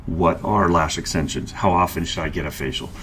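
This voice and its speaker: Deep-voiced man teaching a software walkthrough. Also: a little fast, a little hiss or hum. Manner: calm, explanatory, walks through clicks step by step. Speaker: marketing instructor. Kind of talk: screen-share tutorial narration.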